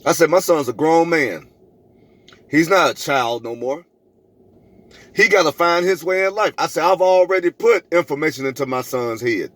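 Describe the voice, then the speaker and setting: A man's voice: fairly high pitch, lively intonation, normal speed, fairly bright tone. Emphatic middle-aged man telling stories. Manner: emphatic, preachy, repeats phrases for effect. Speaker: vlogger telling a personal story. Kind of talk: animated storytelling to camera, re-enacting arguments.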